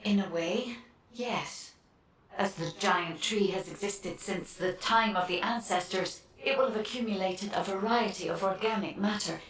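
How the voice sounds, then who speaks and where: A woman voicing a calm, analytical guide in a video game, methodical, precise and formal. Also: medium pitch, moderate pace, reverberant, far from the mic, natural voice, rough recording. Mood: calm, explanatory.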